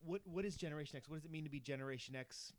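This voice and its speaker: A man's voice: on the high side, very fast. A man of about forty, co-hosting a podcast, who rambles in a casual chat with frequent restarts and laughs between thoughts. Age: 40s. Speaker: podcast co-host.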